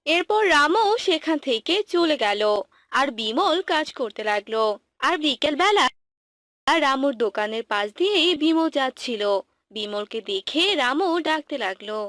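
A woman's voice: high voice, expressive, normal speed, fairly bright tone, dry recording. A woman narrating a scripted children's story.

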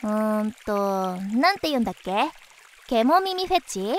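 A woman playing a cheeky, tomboyish young beast-woman in a voice drama, blunt, casual and expressive. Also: on the high side, a little slow, a little hiss or hum. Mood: grumbling. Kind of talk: voice drama acting.

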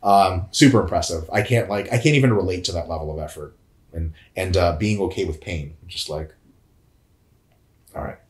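A man in his thirties on a couch, answering viewer questions in a casual, jokey, profane way, with big swings in pitch. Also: normal speed. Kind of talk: casual chat. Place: indoor room, on a couch. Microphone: lapel mic. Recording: echoey room.

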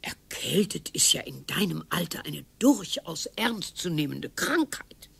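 Croaky, sardonic old wife. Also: female voice, sits low, very animated, a little slow, fairly bright tone, exaggerated voice. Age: older. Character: weary, sardonic old wife, dryly morbid. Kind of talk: radio play dialogue.

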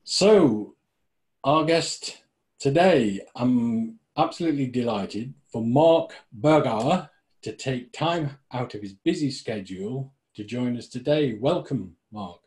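A man hosting a community podcast, speaking in an unhurried, measured way with frequent ums. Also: medium pitch, expressive, darkish tone. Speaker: podcast host.

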